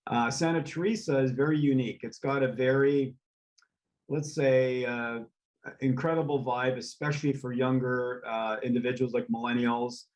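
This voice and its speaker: A man's voice: medium pitch, normal speed. Easygoing man rambling with many fillers. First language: English. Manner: relaxed, rambling, many ums and uhs. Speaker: real estate developer guest. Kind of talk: casual interview chat.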